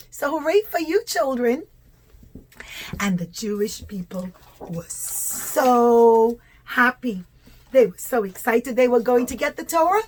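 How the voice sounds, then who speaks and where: A woman telling a religious story to young children in expressive, simple, teacherly phrases with stretched words. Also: sits high.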